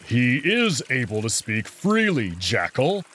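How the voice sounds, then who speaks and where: Man playing a slow, stern wolf protector. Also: medium pitch, big pitch swings, fairly bright tone, full character voice. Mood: angry, restrained. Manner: curt, guarded, slow and firm. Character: stern, protective male anthropomorphic wolf, big and proud. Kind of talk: visual novel character voice.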